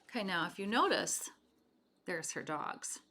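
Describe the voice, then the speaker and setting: A woman reads a picture book aloud to children. Her pitch moves in an animated way and her pace is leisurely. She speaks directly to young listeners, explaining and asking them questions. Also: a little slow. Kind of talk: read-aloud storytelling with chatty asides.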